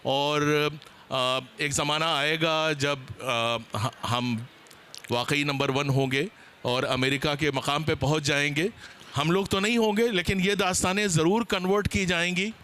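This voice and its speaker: A man speaking as a seminar panelist, explaining at length with some hesitant pauses. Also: fairly high pitch, steady tone, bright, forceful tone, a little hiss or hum. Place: book fair seminar with a live panel.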